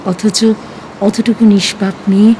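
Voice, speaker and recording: A woman narrating a scripted audio story in an expressive, emotive, dramatic way. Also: medium pitch, unhurried, a little hiss or hum.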